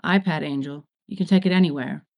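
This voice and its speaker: A woman's voice: low-pitched, brisk, gravelly, a little mellow, voice pushed down. A woman voicing a sardonic, laid-back male demon in a story reading, with a casual, smug delivery. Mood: smug, teasing.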